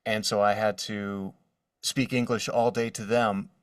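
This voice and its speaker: A man in his forties speaking casually as an interview guest, telling stories in an animated manner from his studio. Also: lowish voice, measured pace, smooth, no echo, far from the mic. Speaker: guest, voice actor. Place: his own voiceover studio.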